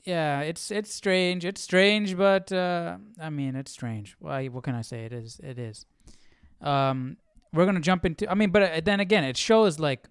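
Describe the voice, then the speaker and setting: A man's voice: a little high, smooth, dry recording, studio quality. A man hosting a live Bible study, chatting informally and thinking aloud in a hesitant, musing way. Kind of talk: casual live-stream chat.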